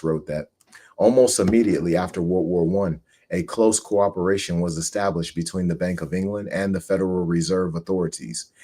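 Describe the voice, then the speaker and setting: Man in his forties, low husky voice, measured and earnest host reading and commenting. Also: darkish tone. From United States. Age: 40s.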